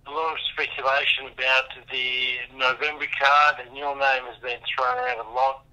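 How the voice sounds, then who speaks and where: A man's voice: mid-range voice, bright, forceful tone, poor audio. A man, a reporter, asking a polite question on a press conference call.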